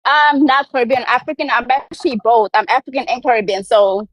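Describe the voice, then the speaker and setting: A young woman with a high voice and a blend of Kenyan and Jamaican accents talks as a live-stream guest. She is loud and brash and curses freely, and the sound is close and dry. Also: brisk, dry recording, poor audio. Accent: mixed Kenyan and Jamaican. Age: young adult. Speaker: livestream guest. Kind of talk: casual live-stream chat.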